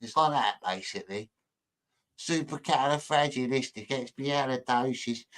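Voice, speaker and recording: A man's voice: medium pitch, normal speed, fairly bright tone. A man from Manchester with a northern English accent mixed with London, chatting casually to live viewers in a blunt, slangy way and repeating his phrases. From Manchester, northern England, later lived in London. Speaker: livestream host chatting with viewers. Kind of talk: casual chat, reading and answering live comments.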